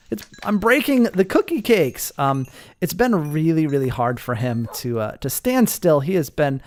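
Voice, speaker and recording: Man with a very lively, swooping delivery. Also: high-pitched, a little hiss or hum. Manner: excitable, playful, swooping. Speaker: gaming video host. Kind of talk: casual Minecraft roleplay commentary.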